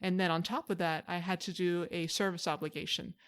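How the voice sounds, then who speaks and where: Woman, late 20s, from Hawaii; quick, clear interview guest. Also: fairly low pitch, steady tone. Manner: open, storytelling answers with fillers. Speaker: podcast guest. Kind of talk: conversational interview.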